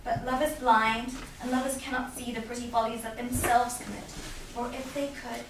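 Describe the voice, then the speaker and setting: Heard from afar in a very echoey, noisy room, a spirited young daughter in love played by a woman speaks Shakespeare's lines. Her voice is rather high, eager and theatrical. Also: level tone, moderate pace, close to an everyday voice. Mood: eager, coy. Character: spirited, lovestruck young daughter eloping in disguise. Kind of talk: staged Shakespeare reading. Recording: very echoey room, distant, clear noise.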